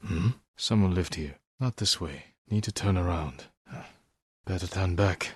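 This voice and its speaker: Grave honorable samurai from a video game, low husky voice, measured and determined. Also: male voice, no room sound, clean studio sound. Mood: quiet, brooding. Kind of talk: dramatic video game voice acting.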